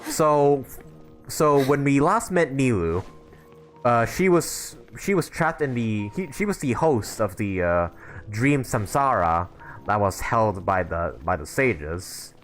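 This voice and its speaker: A man's voice: mid-range voice, very animated, takes it slowly. A man streaming a video game live, chatting casually and often rephrasing himself as he comments. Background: game music.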